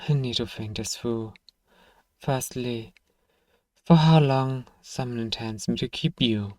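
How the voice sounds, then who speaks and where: Man acting a mellow, sardonic fantasy apothecary. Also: medium pitch, slow, dry recording, lightly acted. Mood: weary, pensive. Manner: dry teasing, sighing, murmuring to one listener. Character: gruff, sardonic apothecary shopkeeper, grudgingly kind, adult man. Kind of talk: ASMR fantasy roleplay acting.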